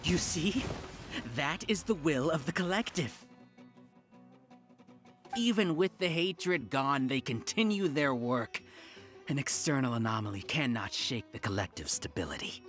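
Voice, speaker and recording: A man's voice: medium pitch, expressive, measured pace, lightly acted. A man voicing a haughty, grandiose villain who rules a hive mind in an anime video game. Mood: grandly assured. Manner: imperious, grandiose, dramatic. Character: haughty male villain, self-styled Overmind of a hive mind. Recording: loud game music underneath.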